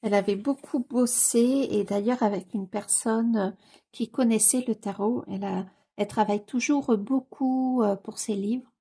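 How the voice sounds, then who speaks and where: A woman with a cold gives casual, gushing commentary as she leafs through a book, often pausing on fillers and repeating her delight. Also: a little high, warm tone, husky. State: has a cold. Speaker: coloring book YouTuber.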